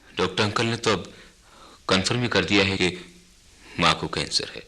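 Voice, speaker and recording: A dutiful adult son in a radio play. His voice is deep and a little rough, with a forceful edge, and he sounds resigned. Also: moderate pace, heavily acted. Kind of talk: radio drama acting.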